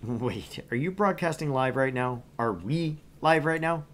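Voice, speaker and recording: Animated, wry game streamer reading aloud. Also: mid-range voice, unhurried. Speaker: gaming streamer. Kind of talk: visual novel read-aloud with casual commentary.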